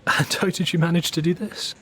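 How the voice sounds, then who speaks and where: A man's voice: fairly high pitch, fairly even intonation, brisk, fairly bright tone, natural voice. A man playing a polite, admiring newspaper interviewer in an audio drama, formal and attentive. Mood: curious.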